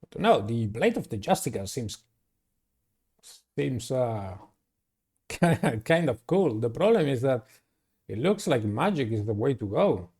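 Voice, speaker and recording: A man doing a playthrough of a visual novel, reading it aloud and commenting in a deliberate, halting way. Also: medium pitch, very animated, dark tone, slightly rough. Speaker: gamer playing and narrating a visual novel. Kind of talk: dramatic read-aloud with casual playthrough commentary.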